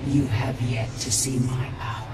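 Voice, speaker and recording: An actress playing an ascended godlike alien woman in a video game, solemn, resolute and measured, with an otherworldly effect on the voice. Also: rumbling low, flat delivery, heavy background noise. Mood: commanding. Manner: measured, grave, portentous. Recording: echoing, distant, loud music behind.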